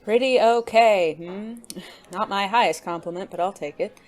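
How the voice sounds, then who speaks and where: An actor playing an unflappable, secretive gentleman in a noir audio drama, speaking with teasing formality. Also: medium pitch, moderate pace, close to an everyday voice. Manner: genteel, arch, formal diction.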